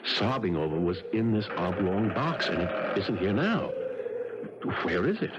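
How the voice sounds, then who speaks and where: Casting: earnest, insistent husband in vintage radio drama, very low voice, muffled and noisy broadcast. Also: male voice, sing-song. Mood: troubled, insistent. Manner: insistent, agitated, halting when troubled. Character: earnest, stubborn husband worried for his friend. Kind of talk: 1970s radio drama acting.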